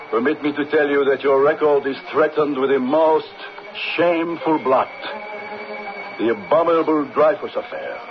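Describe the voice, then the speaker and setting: A crusading, indignant writer in an old radio drama. His pitch swings widely as he declaims in theatrical, emphatic phrases. The recording is dull and lo-fi, with music clearly behind him. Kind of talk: radio drama acting, part dialogue and part narration.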